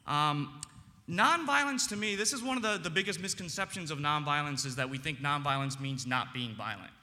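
Earnest man with a big, bright voice. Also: fairly high pitch, expressive, in a large hall. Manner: earnest, frequent ums, emphatic slowed phrases. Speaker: panel speaker. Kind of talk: impassioned public debate speech.

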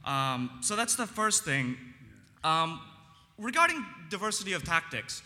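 Earnest man with a big, bright voice. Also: high voice, big pitch swings, long reverb tail. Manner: earnest, frequent ums, emphatic slowed phrases. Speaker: panel speaker. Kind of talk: impassioned public debate speech.

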